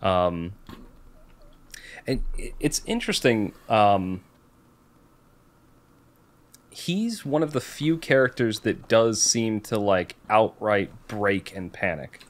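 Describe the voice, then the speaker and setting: A man with a fairly low voice talks through a book as a podcast co-host. His delivery is casual and halting, as he works out his explanation while he speaks. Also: big pitch swings. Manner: halting, thinking aloud as he explains.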